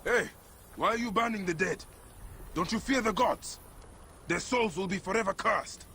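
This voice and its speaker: An actor playing a stern, righteous warrior hero in a video game, intense and formal in phrasing. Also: male voice, sits high, moderate pace, sharp tone, a little hiss or hum. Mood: outraged. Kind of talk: video game character acting.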